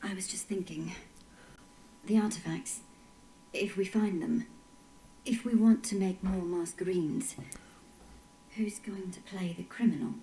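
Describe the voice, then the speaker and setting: A woman's voice: mid-range voice, fairly even intonation, a little slow, pauses often, warm tone, slight background noise, natural voice, low-quality recording. A woman playing a thoughtful, anxious young adventurer in a dramatic fantasy video game. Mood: pensive, uneasy.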